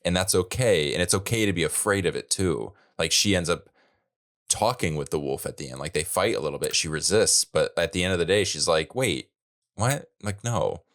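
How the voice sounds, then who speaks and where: Deep-voiced man in casual podcast chat. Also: moderate pace. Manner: animated, laughs often, trails off mid-thought. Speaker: podcast co-host.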